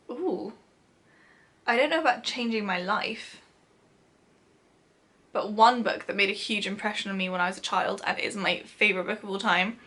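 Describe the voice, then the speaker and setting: A woman's voice: mid-range voice, bright, forceful tone. A young British woman chatting casually as a vlogger, self-deprecating and giggly as she answers questions. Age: young adult. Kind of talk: casual chat to camera, answering a question list.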